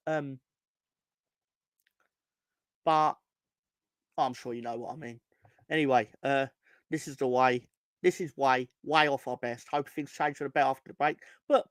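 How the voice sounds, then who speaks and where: Hoarse, ranting football fan podcaster. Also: male voice, on the high side, no room sound. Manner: ranting, swearing, rambling with many restarts. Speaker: podcast co-host. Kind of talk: casual fan review podcast.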